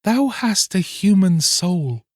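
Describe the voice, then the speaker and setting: A man voicing a gentle, wistful young mermaid in a storybook, with slow, archaic speech. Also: high voice, sing-song, no room sound, studio quality, voice pushed up, heavily acted. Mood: gentle, conditional. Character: wistful, gentle little mermaid, a young female sea creature.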